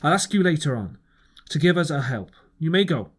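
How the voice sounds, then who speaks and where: A man plays a ship's captain from a storybook adventure. He is brisk, formal and authoritative, addressing others as one in command. The voice sits a little high for a man. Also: sing-song. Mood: coolly polite.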